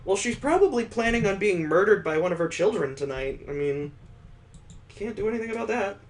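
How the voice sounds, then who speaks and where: A man's voice: high-pitched, lively intonation, fairly quick. A man hosting a casual read-aloud of a visual novel, rambling off into wry asides as he goes. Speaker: gaming host.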